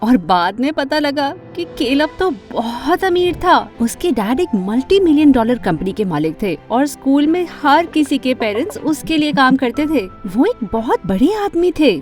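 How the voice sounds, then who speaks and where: A woman playing an ambitious, fashion-loving teenage girl who narrates her own animated story, dramatic and expressive, with English words mixed in. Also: high-pitched, normal speed, few pauses, soft background music. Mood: storytelling.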